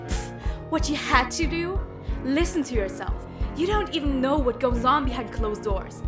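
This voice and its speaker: A woman voices a young female villain for an audio drama. She taunts with smug, scornful sarcasm in a fairly high voice. Prominent plucked guitar music runs underneath. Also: animated, moderate pace. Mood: mocking. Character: smug, scornful villainous girl with mind powers.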